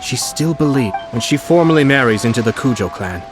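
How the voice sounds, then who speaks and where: An actor voicing a poised, shrewd young noble commissioner in an anime video game, speaking in a measured, formal, articulate way. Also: male voice, medium pitch, lively intonation, music in the background, natural voice. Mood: calm, calculating.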